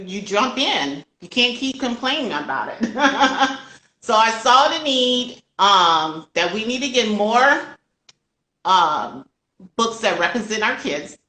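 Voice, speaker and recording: A woman's voice: a little high, expressive, takes it slowly, sharp tone, reverberant, poor audio. An older woman hosting a casual book interview in a chatty, effusive, talkative way. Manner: talkative, effusive with frequent interjections and ready laughter. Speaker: host of a children's book channel.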